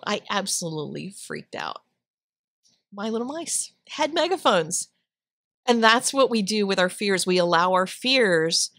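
A woman's voice: mid-range voice, fairly bright tone, no room sound. Middle-aged woman host, casual voice-over, chatty storyteller, dead-dry close mic. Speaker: Bible journaling channel host. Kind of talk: casual voice-over while drawing.

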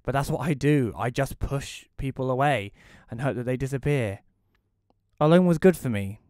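Guy playing bitter loner widower telling his story in an audio play, deadpan, medium pitch, dry recording. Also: normal speed, clean tone, dry recording, close to an everyday voice. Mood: weary, bitter. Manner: wry, deadpan, weary. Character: bitter reclusive widower, sardonic narrator Rory. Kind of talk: first-person audio play narration.

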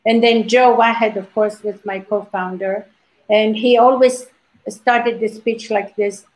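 A woman's voice: mid-range voice, fairly even intonation, measured pace, darkish tone, low-quality recording. An older woman from Libya on a video call panel, recounting her activism in an emphatic, storytelling way with frequent restarts. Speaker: organization founder, panelist. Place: indoor home room.

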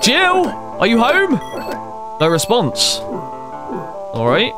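A young man talks through a horror game on a headset mic, his voice sitting a little high. He reads the on-screen text and reacts with frequent exclamations. Game music plays clearly underneath him. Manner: reactive, exclaims often, chatty asides to viewers. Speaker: gaming streamer. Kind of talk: horror game let's play, reading game text aloud. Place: small room at a desk.

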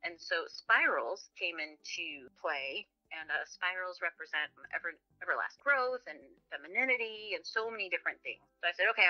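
A woman's voice: lowish voice, sharp tone, highs cut off. A woman in her forties from the United States, telling personal stories casually as a podcast guest. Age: 40s. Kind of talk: casual conversational storytelling.

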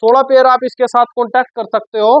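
A man's voice: very high-pitched, level tone, fast. A man presents products in a casual shop-video style. He explains informally, often repeating his phrases. The sound is slightly muffled and lo-fi, with little room echo. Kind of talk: casual product unboxing and sales pitch. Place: shop office with sofa, indoors.

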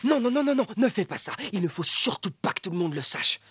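A woman's voice: medium pitch, sing-song, fast, slightly rough, no room sound, faint music behind. A woman voicing an anxious, placating male fox in a children's cartoon, speaking in an urgent, pleading, exclamatory way.

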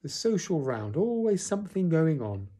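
A man's voice: a little high, big pitch swings, moderate pace, warm tone, slightly rough, pitched above the performer's own voice. A man voicing a self-important, gloomy old donkey from a storybook, pompous, sarcastic and put-upon. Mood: sour, self-pitying. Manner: pompous, ponderous speechifying.

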